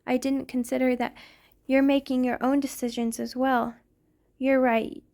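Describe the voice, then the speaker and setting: A woman playing a centuries-old vampire queen, tender, protective and remorseful, speaking softly and formally in an intimate ASMR roleplay. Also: high-pitched, flat delivery, measured pace, creaky. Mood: apologetic, tender. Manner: soft, formal, confessional, hesitant phrasing.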